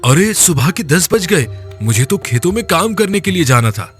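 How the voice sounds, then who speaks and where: A man's voice: fairly high pitch, big pitch swings, moderate pace, a bit bright, faint music behind. A man playing a humble, poor village husband in a cartoon story, earnest, grateful and a little anxious. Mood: flustered. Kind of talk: animated moral story voice acting.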